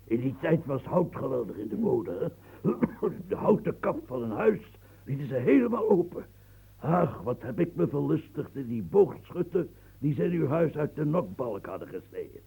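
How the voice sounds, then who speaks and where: Creaky husky old lecher of a nobleman, rambling and chuckling, darkish tone. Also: a little high, big pitch swings, normal speed, dark tone, full character voice, poor audio. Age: older. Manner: rambling, chuckling, coughing, stammering. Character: aging boastful womanizing old male nobleman. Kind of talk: radio play acting.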